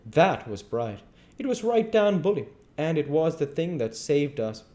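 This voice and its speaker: Man as a scornful, domineering swindler, animated and high. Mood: scolding. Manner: brisk, scolding, folksy rural speech. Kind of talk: dramatised audiobook reading.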